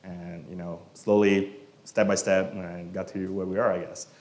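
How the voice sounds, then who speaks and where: A man from Malaysia, a software engineer, answering questions at a meetup in a relaxed, casual way with many fillers, often trailing off quietly. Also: low-pitched, moderate pace. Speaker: guest speaker, software engineer. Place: meetup event room. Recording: echoey room.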